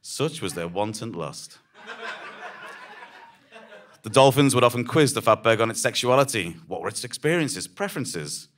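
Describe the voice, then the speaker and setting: A man reading scripted dramatic narration live on stage, in a measured, deadpan manner. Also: medium pitch.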